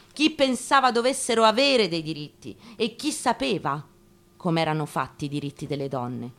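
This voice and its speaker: A woman giving a deliberate, declamatory dramatic reading of a first-person memoir, in short phrases with pauses. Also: lowish voice, a bit bright. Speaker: actress giving a staged reading. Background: acoustic guitar playing.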